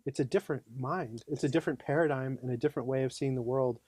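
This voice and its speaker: A man's voice: medium pitch, fast, dark tone, breathy edge, no room sound. Easygoing man chatting as a podcast guest. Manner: easygoing, chatty, lots of fillers like "you know". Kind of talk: casual conversation.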